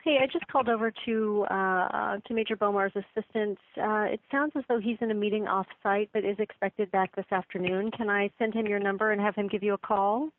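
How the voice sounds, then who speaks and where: The voice is a woman's, at an ordinary pitch, coming through a narrow, dry phone connection. She sounds like an office receptionist, courteous and deferential, careful to apologize and offer help. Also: steady tone, a little fast, few pauses, no echo. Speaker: receptionist or office assistant taking calls. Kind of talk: polite business phone call. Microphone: telephone. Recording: tinny band-limited phone line.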